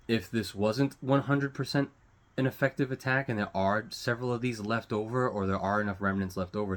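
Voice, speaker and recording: A man hosting his own online show, giving casual unscripted news commentary in a halting, rambling way, searching for words and swearing casually. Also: on the low side.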